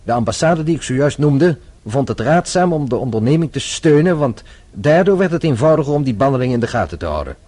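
An actor playing a shrewd, composed French police inspector in a radio play, speaking formally with measured insistence. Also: male voice, medium pitch, very animated, darkish tone. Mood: stern, probing.

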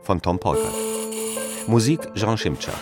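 A man's voice: on the low side, sing-song, measured pace. A man announcing radio drama titles and credits in a scripted style. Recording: loud piano music under the voice.

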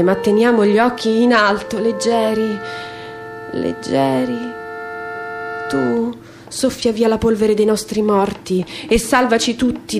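A woman performs a literary text as radio theatre. Her delivery is measured and declamatory, with dramatic pauses, at a medium pitch. Instrumental music plays clearly behind her. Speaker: stage reader and performer. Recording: music under the voice.

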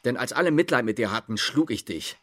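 An actor playing a grave, proud, estranged brother in a German radio drama, solemn and insistent. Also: male voice, medium pitch, lively intonation, fast talker. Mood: solemn, confronting. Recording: bowed string music behind voice.

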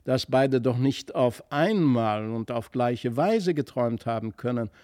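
A man's voice: mid-range voice, lively intonation, measured pace, dark tone, no echo, clean studio sound, lightly acted. A man voicing a pondering, puzzled country nobleman and father in a storybook reading.

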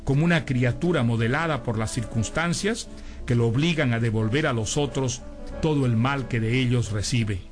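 A man reads a scripted literary introduction as a radio presenter. His mid-pitched voice is measured and erudite. Music plays clearly behind him.

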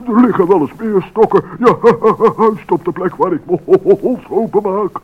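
A man playing a giggly, stammering, bumbling but sly mole in an old radio play, with a husky voice. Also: high-pitched, measured pace. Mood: chuckling, sly. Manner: stammering, chuckling. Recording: dull old radio recording.

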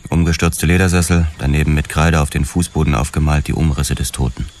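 Very deep, brooding noir investigator. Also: male voice, fairly even intonation, fairly quick, darkish tone. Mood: haunted. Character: world-weary, haunted male police investigator narrating his past. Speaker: narrator. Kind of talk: first-person noir radio drama narration.

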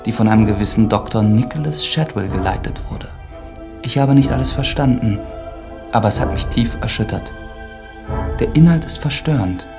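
An actor playing an earnest, pious priest, reading his journal in a measured, formal way in a radio drama. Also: medium pitch, gravelly, close to an everyday voice. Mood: shaken, puzzled. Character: earnest, pious priest investigating a death, medieval-style world. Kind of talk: scripted radio drama monologue, journal narration. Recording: music behind the voice.

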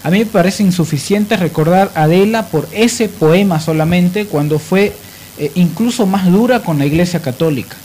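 A man hosting an opinionated radio discussion, emphatic and halting as he builds his points. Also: sits high, darkish tone, slight background noise. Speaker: radio host.

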